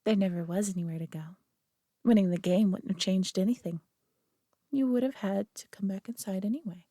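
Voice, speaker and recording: A woman's voice: mid-range voice, a little fast, dark tone, no echo, clean studio sound, natural voice. A woman playing a proud, possessive but caring superior alien being in a roleplay audio drama, speaking in a haughty, condescending yet tender manner. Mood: smug, teasing.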